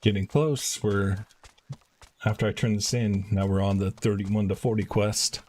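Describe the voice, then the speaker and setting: A man's voice: lowish voice, fairly even intonation, unhurried, a little mellow. A man doing casual gameplay commentary as he streams a video game. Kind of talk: casual gameplay commentary, reading quest dialogue aloud. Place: indoors, live stream.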